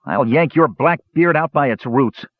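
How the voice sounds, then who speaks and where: A man plays a stubborn, hot-tempered young warrior in an audiobook. He is surly and snarling, with a husky edge and animated pitch. The sound is slightly muffled. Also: measured pace, no room sound. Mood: angry. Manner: snarling, sulky. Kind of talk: audiobook character voice.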